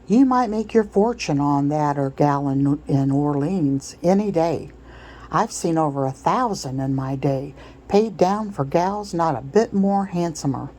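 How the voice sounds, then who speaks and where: A woman's voice: low-pitched, expressive, measured pace, warm tone, slight background noise. A woman voicing a coarse, pushy male slave trader in a storybook read-aloud, with a wheedling, blustering, folksy rustic way of talking. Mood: admiring, bargaining.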